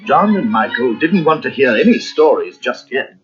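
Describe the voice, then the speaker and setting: A man narrating a scripted children's storybook in a dramatic, expressive way. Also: medium pitch, moderate pace. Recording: muffled cassette with music behind.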